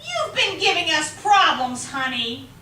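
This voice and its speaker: Woman as a slow, shrill, menacing schoolteacher. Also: very animated, bright, forceful tone, full character voice. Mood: threatening. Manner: drawn-out, sugary menace. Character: menacing fifty-year-old female math teacher, secretly a winged monster. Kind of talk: amateur reader's theater acting. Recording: roomy, distant, faint noise.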